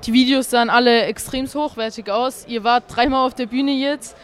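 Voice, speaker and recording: An interviewer with a mid-range voice, speaking in eager, slang-laden casual chat. The questions are quick and informal, recorded outdoors at an urban festival. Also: medium pitch, fairly even intonation, fairly bright tone, clear, resonant tone. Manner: slangy, enthusiastic youth talk. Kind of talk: casual vox-pop interview.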